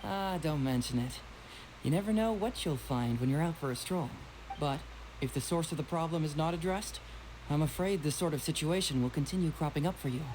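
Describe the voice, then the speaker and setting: A man voicing a young, confident, shrewd detective in a video game, with a casual, smooth, teasing manner. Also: a little high, a little fast, darkish tone, a little hiss or hum, natural voice, poor audio. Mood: polite, breezy. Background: game music.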